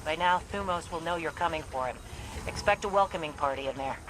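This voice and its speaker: An actress playing a tough, weary woman militia leader in a video game, speaking blunt and gruff. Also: deep voice, fast, background noise, close to an everyday voice. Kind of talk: video game cutscene acting. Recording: loud game music behind.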